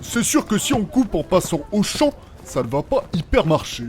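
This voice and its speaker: A cheeky fantasy adventurer, acted by a man, full of wisecracks. The voice is high for a man and swings widely in pitch, in the manner of a comic audio play. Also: big pitch swings, moderate pace, darkish tone. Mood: deadpan punning.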